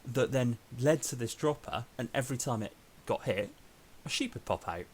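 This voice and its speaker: A man's voice: mid-range voice, expressive, moderate pace, slight background noise. A Welsh man hosting a casual podcast, rambling through a story with frequent ums. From Wales. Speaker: podcast host.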